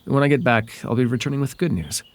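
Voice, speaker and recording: A man voicing a whimsical, softly possessive male fairy in an intimate, hesitant, conversational ASMR roleplay. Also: mid-range voice, fast talker, no room sound, natural voice. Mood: wistful.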